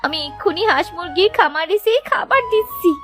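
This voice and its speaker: Woman doing a sweet timid little girl for a cartoon, really high, lilting, pleading. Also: animated, quick pace, music in the background. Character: meek, kind, mistreated young orphan girl. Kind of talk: animated moral tale voice acting.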